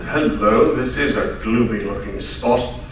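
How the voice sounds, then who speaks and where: A man's voice: on the low side, unhurried, lightly acted. A man playing a grumbling, incredulous detective's companion in an old-time radio drama, with a blustery, exclamatory delivery. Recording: echoey live stage, distant mic, faint noise.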